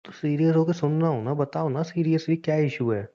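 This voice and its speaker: Mellow-voiced deadpan young boyfriend , acted by a man. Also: on the high side, brisk, close to an everyday voice. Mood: feigned innocence. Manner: deadpan, argumentative, feigns innocence. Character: obtuse, teasing young boyfriend who argues back. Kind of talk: staged phone-call argument in casual speech. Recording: dull lo-fi call recording.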